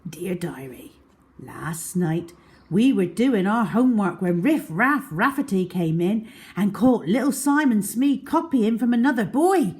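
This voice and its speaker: A woman's voice: mid-range voice, animated, measured pace, darkish tone. A woman storyteller reading a children's diary-style story aloud with expression.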